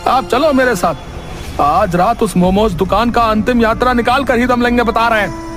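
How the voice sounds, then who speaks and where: A man playing a scheming, vengeful village crook and cheeky sidekick in a cartoon story, whiny and excitable with a rustic way of talking. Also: extremely high pitch, normal speed, soft background music. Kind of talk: cartoon moral-story acting.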